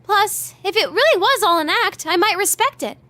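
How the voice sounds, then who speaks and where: A perky teenage pop idol girl for a video game. The voice is extremely high and bright, with a dry studio sound. The delivery is chatty, gossipy and emphatic. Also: lively intonation, normal speed, dry recording, heavily acted. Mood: exasperated. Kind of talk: animated video game voice acting.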